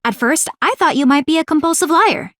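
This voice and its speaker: A woman's voice: high-pitched, expressive, fairly quick, a bit bright, no room sound, clean studio sound. A woman playing a confident, suspicious young female strategist in a video game, speaking in a formal, precise, commanding way. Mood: accusing, smug. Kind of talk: scripted video game character voice acting.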